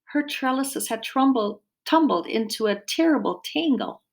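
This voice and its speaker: A woman's voice: high-pitched, animated, moderate pace. A woman reading a children's book aloud expressively, with simple explanatory asides as if to young children.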